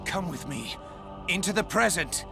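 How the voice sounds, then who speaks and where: An intense young warrior prince, wide-swinging voice. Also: male voice, high voice, big pitch swings, moderate pace, gravelly, over loud music, full character voice. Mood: urgent, pleading. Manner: earnest, intense, theatrical delivery. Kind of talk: dramatic video game cutscene acting.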